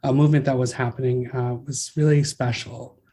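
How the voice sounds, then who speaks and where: A man's voice: mid-range voice, flat delivery, normal speed, dark tone, slight background noise. A man born in the Philippines, a guest in an interview, speaking casually and thoughtfully, with many fillers and restarts. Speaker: guest, brand founder.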